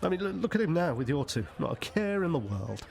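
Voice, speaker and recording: An actor playing a friendly, reflective village pub customer in a radio soap. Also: male voice, on the high side, very animated, fast talker, vocal fry, very noisy. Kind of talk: radio soap opera acting.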